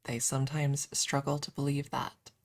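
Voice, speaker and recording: A woman streamer chatting casually in a soft, hesitant ASMR style with playful self-deprecation, close to the microphone. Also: a bass voice, level tone, normal speed, dry recording. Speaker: ASMR livestreamer. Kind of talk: ASMR, casual rambling chat.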